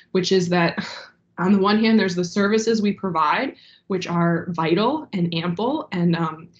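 A woman's voice: fairly low pitch, dull audio. A young woman with a stuffy, congested voice giving formal testimony as a library director over a video call, hesitant with many ums. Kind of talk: formal testimony at an online meeting. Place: home room, indoors. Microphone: video call webcam.

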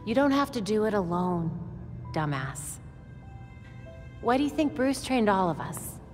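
A woman's voice: mid-range voice, very animated, unhurried. An actress playing a blunt, teasing, perceptive young woman vigilante in a video game cutscene. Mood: frank, supportive. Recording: long hall reverb, music underneath. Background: music.

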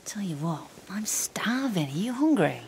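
An actress playing a hopeful, forgiving wife in a radio drama, speaking softly and intimately. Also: female voice, mid-range voice, sing-song, moderate pace, slight background noise. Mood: affectionate. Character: hopeful, forgiving wife taking her husband back. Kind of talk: naturalistic radio drama acting.

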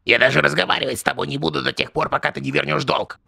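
A man's voice: medium pitch, fairly even intonation, very fast, no echo, exaggerated voice. A man voicing a bossy, threatening rooster gangster boss in an adult cartoon. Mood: menacing. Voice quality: gravelly, sharp.

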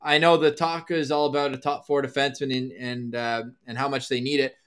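A man's voice: mid-range voice, little pitch movement, brisk, fairly bright tone, smooth. A man co-hosting a podcast over a video call, talking playfully and joking with his guest. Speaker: podcast co-host. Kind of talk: casual video call chat.

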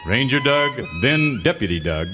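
An actor playing a melodramatic, mock-solemn announcer narrating a parody of an old-time radio western. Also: male voice, mid-range voice, very animated, normal speed, loud music behind the voice, natural voice. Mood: storytelling.

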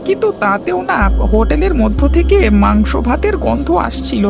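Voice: sits high, big pitch swings, normal speed, gravelly, exaggerated voice. An actor playing a suspicious, insistent and gossipy villager in a horror cartoon. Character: suspicious villager snooping on a haunted eatery. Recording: music behind the voice.

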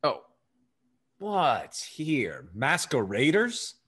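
A man's voice: medium pitch, wildly swooping pitch, very slow, a bit bright, breathy edge, full character voice. A man as a swooping, suave rake. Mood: earnest, ardent. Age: young adult.

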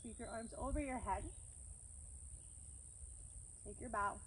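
Calm woman teaching yoga outdoors. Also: fairly high pitch, slow, low-quality recording. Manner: calm, encouraging cues between breaths. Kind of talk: guided yoga class instruction. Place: outdoors on a grassy farm field under trees. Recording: faint outdoor noise, crickets. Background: faint crickets and country outdoor sounds.

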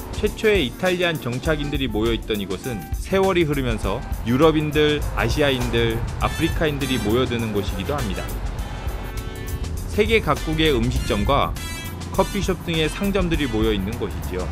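A man narrating a documentary and interviewing guests in an expressive scripted delivery. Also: mid-range voice.

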